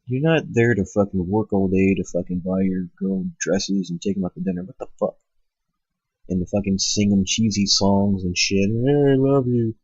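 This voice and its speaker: A man's voice: on the low side, lively intonation, normal speed, darkish tone, dry recording. A man with a cold and a stuffed-up nose hosting his own show, ranting bluntly and profanely, rambling with lots of fillers. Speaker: host. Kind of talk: ranting monologue. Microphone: cheap microphone. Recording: lo-fi home setup.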